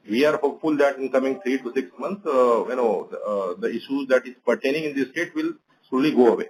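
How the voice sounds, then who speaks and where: A man's voice: medium pitch, a little hiss or hum, poor audio. A man with an Indian accent, a bank executive methodically explaining points on an earnings conference call.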